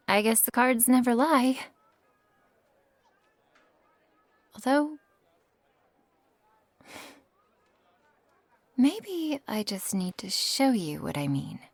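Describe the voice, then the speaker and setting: A woman playing a flustered, earnest young witch who reads tarot, in an ASMR roleplay with a halting manner. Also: medium pitch, no echo, exaggerated voice. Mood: disappointed, hopeful. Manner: halting, breathy asides, nervous little laughs and sighs. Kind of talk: ASMR roleplay acting, addressed to the listener.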